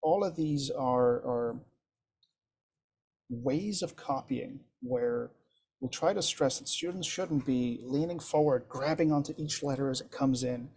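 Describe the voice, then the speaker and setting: A man whose first language is French, leading an instructor training session in a relaxed, encouraging way over a video call. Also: mid-range voice, unhurried, husky. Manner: relaxed, encouraging, thinks aloud with ums. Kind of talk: casual group video-call discussion.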